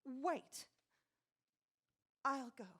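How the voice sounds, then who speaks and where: A woman plays a haunted wife in an old-time radio chiller. Her voice is high and urgent. She sounds frightened yet stubbornly insistent. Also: drawn-out words, fairly bright tone, a little hiss or hum, heavily acted. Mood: haunted, pleading.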